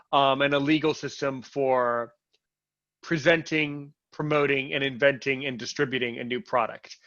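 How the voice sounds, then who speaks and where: An American man with a slightly higher voice talks casually and with energy. He often repeats and restarts his words when he gets going. The sound is dull and lo-fi, like audio from an online video call. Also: fairly bright tone. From United States. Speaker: interviewee and show host. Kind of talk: casual conversational interview.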